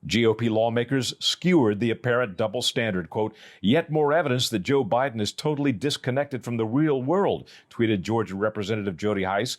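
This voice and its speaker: A man's voice: mid-range voice, a little fast, few pauses. A man with an American accent reading a scripted news report as a reporter.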